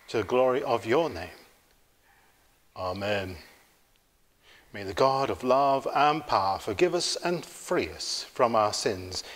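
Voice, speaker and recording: A middle-aged man leading worship as a priest, praying in a solemn, formal and measured way. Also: medium pitch, fairly bright tone. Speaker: priest leading a church service. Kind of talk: solemn liturgical prayer. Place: inside a church, at the altar. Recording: reverberant church interior.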